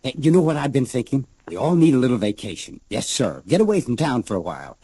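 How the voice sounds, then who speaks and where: An actor playing a good-natured, hopeful, evasive small-town husband, folksy and placating, with an American accent in 1940s radio drama. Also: male voice, medium pitch, very animated, fast, warm tone, slightly rough, no room sound.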